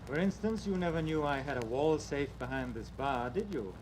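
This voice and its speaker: An actor playing a smooth, controlling man in an old-time radio murder mystery. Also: medium pitch, normal speed, close to an everyday voice. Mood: quietly sinister. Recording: noisy old radio recording.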